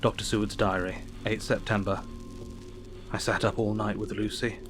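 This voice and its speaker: An actor playing an earnest Victorian doctor, narrating his diary in an audio drama with a measured, formal delivery. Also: lowish voice, little pitch movement, over music, natural voice. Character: earnest Victorian doctor keeping a night vigil.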